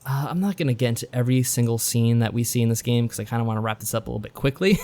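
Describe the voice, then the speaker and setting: A man's voice: mid-range voice, racing, darkish tone. A man co-hosting a podcast in casual chat, giving a rambling, joking recap. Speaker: podcast co-host. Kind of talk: casual chat reviewing visual novels.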